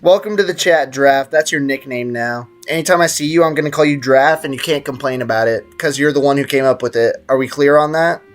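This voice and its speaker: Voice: mid-range voice, fast talker, hardly any pauses. Teasing game streamer with faint music behind. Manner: teasing, slangy, mock-stern. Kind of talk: casual livestream chat over gameplay.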